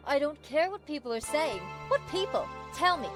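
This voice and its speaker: A woman's voice: high voice, expressive, normal speed, over music. A woman playing an indignant, pushy mother with an insistent, confrontational manner in an audio drama. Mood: indignant, demanding.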